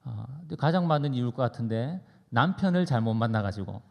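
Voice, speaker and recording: A man preaching as a pastor in a conversational, anecdotal sermon style, deliberate and pausing between phrases. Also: medium pitch, expressive, gravelly, a little mellow. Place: church sanctuary during a service. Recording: reverberant hall, long echo.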